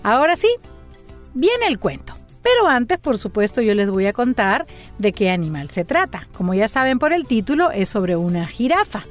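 A woman's voice: fairly high pitch, moderate pace, soft background music. A woman who hosts a children's storytelling show, chatting playfully and reading out messages from young listeners. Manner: warm, playful and animated, reading out fan messages. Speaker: children's storyteller and channel host. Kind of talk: friendly chat to young listeners.

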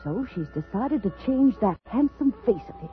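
An actress playing an unstable woman telling a story in an intense, halting, dramatic 1950s radio style. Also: a little high, expressive, phone-quality sound, lightly acted. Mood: fevered, vengeful. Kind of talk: 1950s radio suspense acting. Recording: thin vintage radio recording with music.

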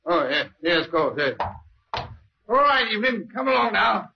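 Meek English husband in his middle years, high voice, lively pitch, placating, vintage radio play. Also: moderate pace, creaky, heavily acted. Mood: fussing, placating. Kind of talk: old-time radio drama acting. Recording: dull, noisy old radio recording.

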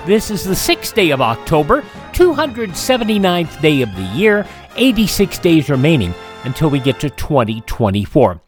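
A man's voice: a little high, wildly swooping pitch, normal speed, faint music behind. A man hosting a radio show, reading scripted notes on history.

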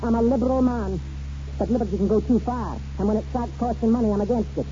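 An actor playing a stingy, self-righteous and easily outraged master of a household in a 1940s radio drama. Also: male voice, extremely high pitch, quick pace. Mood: stern, grudging. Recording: muffled, noisy 1940s radio broadcast.

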